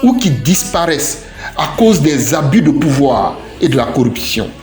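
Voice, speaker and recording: A man's voice: on the high side, very animated, moderate pace. A man from Côte d'Ivoire delivers scripted opinion commentary in an emphatic, declarative way, repeating phrases in lists. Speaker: civic commentator. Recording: roomy echoing voice track with music. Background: music.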